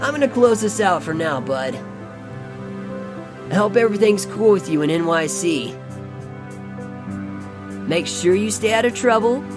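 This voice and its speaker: A man's voice: sits high, animated, unhurried, long pauses. A man playing an upbeat, laid-back teenage mutant turtle in an audio comic drama, slangy and earnest. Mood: hopeful. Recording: music under the voice.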